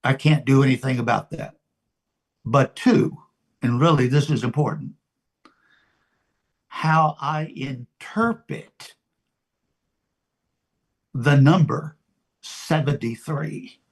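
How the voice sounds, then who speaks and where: A man in his seventies preaching an informal sermon as a pastor, deliberate and emphatic, pausing and repeating his phrases. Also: medium pitch. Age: 70s.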